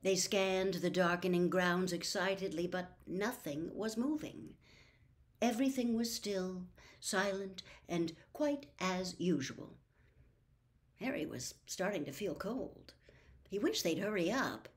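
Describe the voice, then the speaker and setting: An older woman in her sixties or beyond reading a storybook aloud with expressive, dramatic phrasing. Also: on the low side, takes it slowly. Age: 60s or older. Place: small book-lined room at home.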